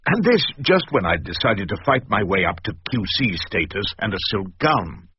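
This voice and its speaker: A man's voice: fairly low pitch, very animated, normal speed, husky, no room sound, heavily acted. An older man with an English accent playing a wry, stubborn, eloquent barrister in a radio drama, orotund and theatrical. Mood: wry, rhetorical. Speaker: narrator and lead character. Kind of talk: radio drama narration and dialogue. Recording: muffled radio recording, faint noise.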